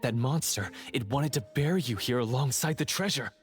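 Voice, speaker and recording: A man's voice: mid-range voice, lively intonation, a little fast, natural voice. A man playing a remorseful, self-doubting young swordsman in a fantasy game, earnest and hesitant as he speaks. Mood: rueful. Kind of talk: scripted fantasy game dialogue. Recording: music playing under the voice.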